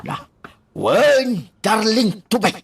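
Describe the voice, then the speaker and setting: A man's voice: sits high, very animated, slow pace, gravelly, background noise, exaggerated voice. A man playing a put-upon husband in a radio drama, pleading and grumbling as he gives in. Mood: resigned. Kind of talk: Filipino radio drama acting.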